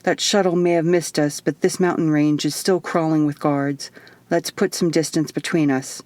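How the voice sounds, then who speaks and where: A woman's voice: low voice, steady tone, moderate pace, a little mellow, dry recording, lightly acted. A woman voicing a stern, matter-of-fact male alien guardian in human form for an audiobook, explaining things firmly. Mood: calm, urgent. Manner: firm, explanatory. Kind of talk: audiobook character dialogue.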